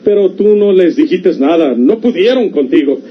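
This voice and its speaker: An actor playing a rough, passionate outlaw lover in a Mexican radionovela, with a rural northern Mexican accent and an emphatic, insistent delivery. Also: male voice, sits high, lively intonation, normal speed. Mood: ardent, tender. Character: rough, impassioned outlaw man, possessive and tender lover. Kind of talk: golden-age Mexican radionovela acting. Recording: muffled vintage radio recording with hiss.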